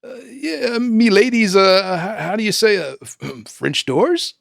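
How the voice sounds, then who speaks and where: A man playing an eager, excitable film director pitching in a comedic amateur radio play, gushing and halting as he goes. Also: gravelly, no echo, clean studio sound, full character voice. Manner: gushing, halting salesman patter.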